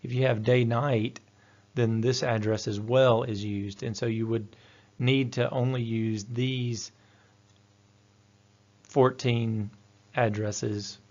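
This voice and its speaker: A man's voice: lowish voice, a little mellow. A man giving an instructional technical presentation in a methodical, explanatory manner. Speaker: technical trainer. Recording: dull, lo-fi voice-over audio.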